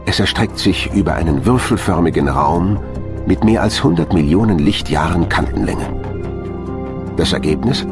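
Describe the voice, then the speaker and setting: A man reading scripted narration as the narrator of a documentary. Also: low-pitched.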